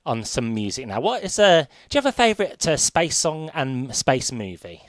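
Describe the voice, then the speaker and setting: A man hosting a casual radio interview, asking questions in a hesitant, halting way. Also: mid-range voice, big pitch swings, no room sound, clean studio sound. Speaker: radio presenter interviewing a guest.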